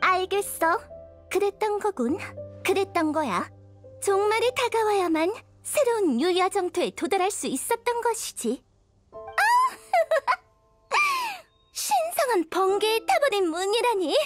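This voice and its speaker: A very high, theatrical young princess from an anime game. She speaks pompously in old-fashioned royal phrases, swinging widely in pitch. Music plays clearly behind her. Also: big pitch swings, normal speed. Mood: grandiose. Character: haughty, theatrical young princess with grandiose archaic speech.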